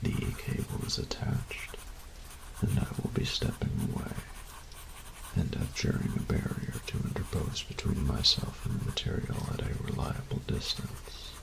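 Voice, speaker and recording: Man playing a very deep, gravelly, meticulous artificer. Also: lively intonation, measured pace, whispered, full character voice, rough recording. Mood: formal, focused. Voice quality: gravelly, breathy low voice. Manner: formal, precise, clinical dictation. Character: meticulous, reclusive steampunk artificer dictating an experiment log. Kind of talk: scripted audio roleplay acting.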